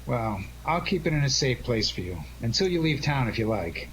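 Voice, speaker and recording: A man's voice: medium pitch, very animated, normal speed, a bit bright. A man playing a genial, gallant detective in a 1940s-style radio drama, with an affable, playful manner. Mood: affable, charmed. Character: genial, gallant male adventurer detective, easily charmed. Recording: faintly noisy radio drama recording.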